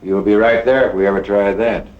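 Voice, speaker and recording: An actor playing a wary, grumbling cattle rustler in an old western film, talking in folksy cowboy slang. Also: male voice, lowish voice, fairly even intonation, fairly quick, narrow, tinny audio, close to an everyday voice. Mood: wary, grim. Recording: echoey, faintly noisy old film soundtrack.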